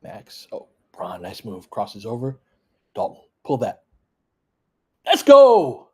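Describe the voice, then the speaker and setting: A man's voice: mid-range voice, huge pitch swings, slow pace, breathy edge. A man hosting a fan livestream, giving off-the-cuff, conversational play-by-play of a basketball game and chatting with listeners. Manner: conversational, off-the-cuff, talking in short clipped bursts. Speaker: sports livestream host doing fan play-by-play. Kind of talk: casual running basketball commentary, chatting with listeners.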